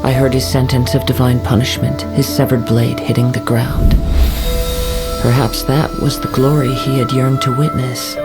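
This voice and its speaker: An actor playing a calm, reflective young samurai in a game, measured and soft-spoken. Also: male voice, mid-range voice, natural voice. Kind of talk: game voice acting. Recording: game audio over music.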